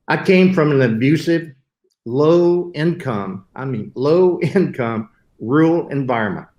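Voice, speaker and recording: An older man in a middle-pitched voice. He gives an earnest webinar talk and sometimes stops to correct himself. The sound is roomy and echoey. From southern United States. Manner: earnest, with occasional self-corrections. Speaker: webinar host. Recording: roomy echo.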